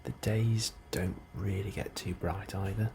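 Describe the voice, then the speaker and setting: A man doing a soft, breathy ASMR role-play as an unhurried, politely understated officer interviewing a newcomer. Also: low-pitched. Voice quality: soft, breathy, often near whisper. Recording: steady ambient noise behind the voice. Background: computer and ambience sounds.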